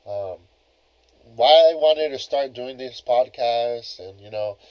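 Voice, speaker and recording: A young man hosting a casual solo podcast, talking in a laid-back, rambling way full of filler phrases. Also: medium pitch. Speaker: podcast host. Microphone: cheap plug-in computer microphone. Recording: dull lo-fi cheap mic.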